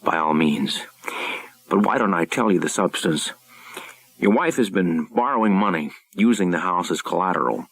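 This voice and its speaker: A man playing a wealthy creditor in an audiobook, coldly commanding, formal and measured. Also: low voice, expressive, gravelly, dry recording, exaggerated voice. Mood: cold, smug.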